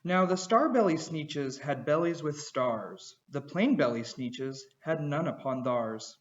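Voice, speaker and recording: Male storyteller, high voice, rhythmic rhyming read-aloud, lo-fi home recording. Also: lively intonation, unhurried. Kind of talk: reading a children's picture book aloud.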